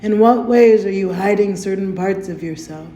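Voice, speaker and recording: A woman leading a guided meditation in a gentle, soothing, unhurried way, softly inviting the listener to breathe. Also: mid-range voice. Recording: roomy echo with faint music.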